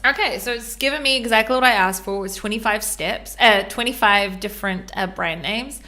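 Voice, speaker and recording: Bright-voiced New Zealand woman explaining. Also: medium pitch, fairly quick. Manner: chatty, step-by-step explaining with frequent ums and uhs. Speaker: podcast host. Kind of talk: live screen-share tutorial.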